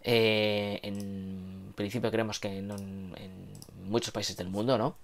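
A man's voice: lowish voice, level tone, slow pace, creaky. A man in his thirties with a Castilian accent from Spain, co-hosting a casual news chat in a hesitant, slangy, chatty way. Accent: Castilian Spanish from Spain. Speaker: co-host of a manga and anime news show. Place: home room with bookshelves. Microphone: lapel mic.

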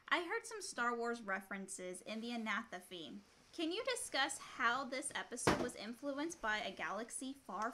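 A woman in her thirties co-hosts a casual Q&A stream with a bright, fairly high voice. Her pitch moves around a lot as she reads out questions and banters playfully. She is recorded on a computer mic indoors. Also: slight background noise. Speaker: co-host reading audience questions. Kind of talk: casual livestream chat and reading questions aloud. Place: small room at home, indoors.

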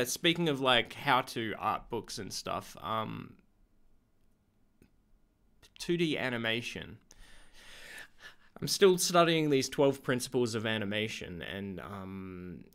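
A young man, slightly hoarse from being sick, chatting in a relaxed, rambling way with lots of ums in a video diary at home. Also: medium pitch. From Australia. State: slightly hoarse, getting over an illness. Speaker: vlogger.

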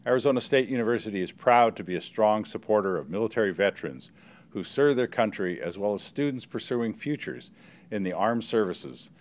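Male, sixties, somewhat deep, academic official, formal scripted ceremony presentation. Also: lively intonation, a little fast. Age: 60s. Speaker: university provost presenting honors. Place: indoor stage at a podium.

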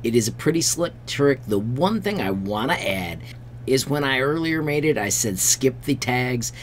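A man's voice: medium pitch, animated, normal speed, a little hiss or hum. A middle-aged American man narrating an upbeat screen-share tutorial in an enthusiastic, friendly way as an online host. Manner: enthusiastic, friendly sign-posting to viewers.

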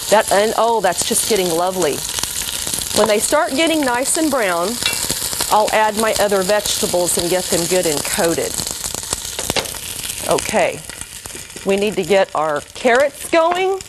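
A middle-aged woman in a kitchen, chatting through a recipe step by step as a cooking show host. Her voice sits at an ordinary pitch, with faint background noise and the sizzle of food in a pan. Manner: chatty, homey, talks viewers through each step. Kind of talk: cooking demonstration. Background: sizzling pan and stirring.

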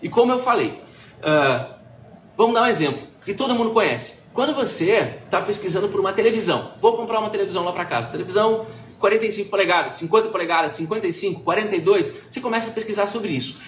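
A man giving a casual, animated online marketing class, full of fillers and restarts, recorded on a webcam. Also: high-pitched, fast talker. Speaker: marketing consultant presenting a live class. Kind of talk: informal webinar lecture. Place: small indoor room. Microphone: webcam-framed at desk, video call. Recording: echoey room with faint background noise.

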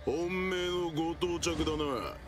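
An actor playing a menacing, cocky gang boss in a video game, with rough, taunting gangster talk. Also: male voice, a little high, takes it slowly, over music. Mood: sneering, threatening. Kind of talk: Japanese video game voice acting.

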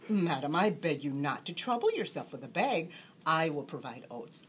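A sly, genteel storybook fox, acted out by a woman, speaks with courtly, emphatic politeness. The pitch sits low and moves with lively swings, over some background noise. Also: normal speed. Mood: hospitable, coaxing. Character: suave, polite, sly gentleman fox with sandy whiskers. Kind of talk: storybook character reading.

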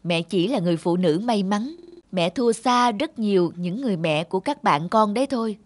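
A woman playing a gentle, humble mother and novelist in a moral cartoon, earnest, measured and patient. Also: mid-range voice, animated, natural voice. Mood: gently admonishing. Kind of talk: animated moral-tale dubbing. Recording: music behind the voice.

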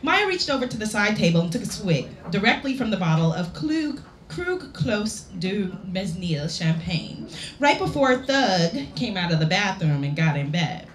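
A woman author reading a story aloud to a live audience, playful and theatrical, with dramatic pauses. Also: mid-range voice, sing-song. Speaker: author reading own work. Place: indoor lounge at a public reading event. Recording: roomy live venue recording with background noise.

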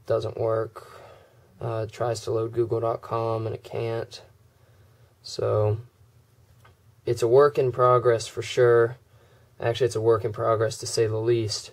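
A man with a cold, his voice going hoarse, casually walking through a phone's software and filling gaps with uhs and ums. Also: medium pitch, a little slow, pauses often. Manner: relaxed, many "uh" and "um" fillers. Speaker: tech video creator. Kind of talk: casual screen demo walkthrough.

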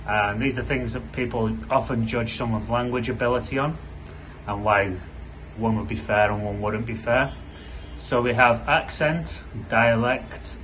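A man in his thirties with a Yorkshire accent, an English teacher explaining to camera in a casual, unscripted way, with many pauses and fillers. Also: medium pitch, a bit bright, a little hiss or hum, low-quality recording. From Yorkshire, England. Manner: unscripted, with frequent "uh" pauses and restarts. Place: small indoor room with a plain wall.

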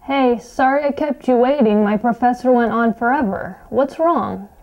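A young woman acts a casting assistant in a sketch comedy. Her voice is on the high side, easygoing and everyday as she reads audition lines. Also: normal speed, darkish tone, natural voice, rough recording. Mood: casual. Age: young adult. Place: small office room, indoors.

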